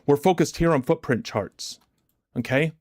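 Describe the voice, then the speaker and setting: A man hosting a livestream as a motivational trading coach, deliberate and emphatic, his chest a little congested from a recent illness. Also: fairly high pitch, darkish tone, no room sound, clean studio sound. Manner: deliberate, emphatic, repetitive rhetorical questions. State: chesty, getting over bronchitis, clearing throat.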